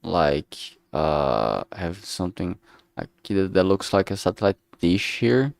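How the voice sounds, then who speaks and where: A man streaming as he works, thinking aloud casually in a halting way and muttering to himself. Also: low voice, sing-song, vocal fry, no echo, clean studio sound. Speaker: streamer making a visual novel. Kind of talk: casual think-aloud art livestream commentary.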